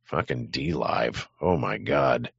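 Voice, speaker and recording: Male livestream host, low and creaky, profane rambling rant, sardonic delivery, close dry but muffled recording. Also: takes it slowly, no echo. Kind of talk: rambling political rant to live chat.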